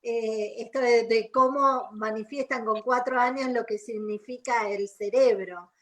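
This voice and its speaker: A woman's voice: a little high, fairly even intonation, a little slow. A woman from Argentina with an Argentine accent co-hosting a radio magazine, speaking in an enthusiastic, flowing way. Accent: Argentine Spanish. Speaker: radio co-host.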